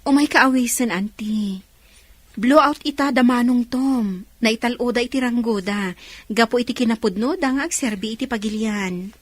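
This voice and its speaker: A woman's voice: fairly high pitch, normal speed, no room sound, lightly acted. A woman playing a friendly young village woman in an Ilocano radio drama. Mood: cheerful, inviting.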